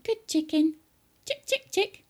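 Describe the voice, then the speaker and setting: A woman's voice: very high voice, expressive, takes it slowly, darkish tone, pitched above the performer's own voice, heavily acted. A woman voicing an earnest, eager young boy who calls out defiantly in a children's storybook. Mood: coaxing. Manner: calling out and protesting. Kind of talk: children's storybook character reading.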